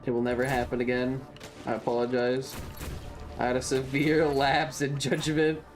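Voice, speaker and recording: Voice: medium pitch, flat delivery, normal speed. A streamer from the southern United States giving casual video game commentary in a playful, mock-serious, rambling way. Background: game music.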